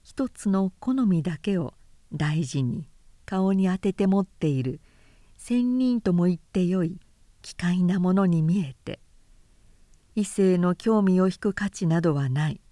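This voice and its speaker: A woman narrator reading classic literature aloud in a measured, careful audiobook delivery. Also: lowish voice, mellow, dry recording, studio quality.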